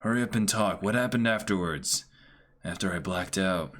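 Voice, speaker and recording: A man voicing a gruff, brooding young pirate cook who mutters tersely in a low voice. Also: moderate pace, a bit bright. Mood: grim.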